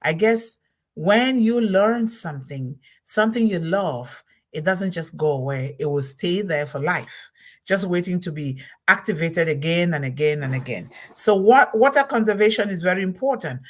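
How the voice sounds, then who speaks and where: A woman's voice: fairly low pitch, animated, normal speed. Emphatic woman giving a talk. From Cameroon. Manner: emphatic, repeats key words, asks listeners "right?". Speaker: host of a motivational talk series. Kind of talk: solo motivational talk.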